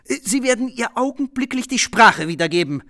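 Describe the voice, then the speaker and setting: Pompous, indignant rich gent in a radio play, high voice, very animated. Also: male voice, moderate pace, heavily acted. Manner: pompous, theatrical. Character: pompous, indignant bourgeois gentleman of the household. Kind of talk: German radio play acting.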